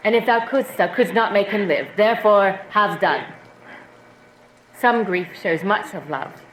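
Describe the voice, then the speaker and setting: A woman's voice: mid-range voice, a little slow, natural voice. Woman playing echoey, stern noble mother. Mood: reproving. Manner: formal, imperious. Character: Lady Capulet, stern middle-aged noble mother, cold and vengeful. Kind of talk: Shakespearean radio drama acting. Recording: echoey room, faint background noise.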